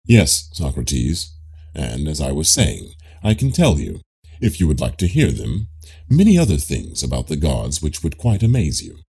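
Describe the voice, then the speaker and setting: A man playing a gravelly, self-assured Greek zealot. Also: extremely low pitch, wildly swooping pitch, moderate pace, mellow, pitched below the performer's own voice, exaggerated voice. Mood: eager, boastful. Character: self-assured, pious Greek man, eager to prove himself. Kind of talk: dramatised audiobook reading of philosophical dialogue.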